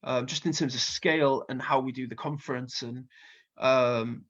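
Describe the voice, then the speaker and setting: A man's voice: mid-range voice, fairly even intonation, normal speed, a bit bright. Chatty Welsh man with a slight cold, dull audio. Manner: chatty, rambling with many fillers. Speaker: festival co-founder and music booker. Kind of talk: casual interview chat.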